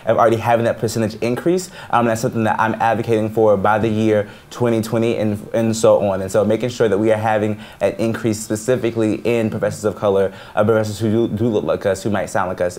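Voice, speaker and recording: A man's voice: fairly low pitch, flat delivery, fairly quick, few pauses, darkish tone. A young man giving a confident rebuttal as a candidate in a student government debate. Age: young adult.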